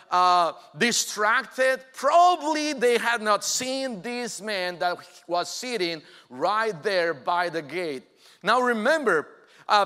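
A man in his forties delivers a sermon in a high, bright voice. He speaks slowly and earnestly, with many "uh" fillers. His voice rings with the long reverb of a big church hall. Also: smooth. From Mexico. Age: 40s. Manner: earnest, hesitant with frequent "uh" fillers. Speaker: pastor. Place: church sanctuary.

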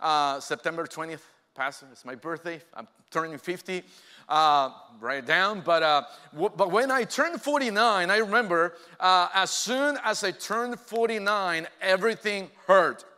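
A man, preaching a sermon in an earnest way, often pausing with "uh". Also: high-pitched, sharp tone, smooth. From Mexico. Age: 40s. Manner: earnest, hesitant with frequent "uh" fillers. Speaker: pastor. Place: church sanctuary. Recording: long reverb from a large church hall.

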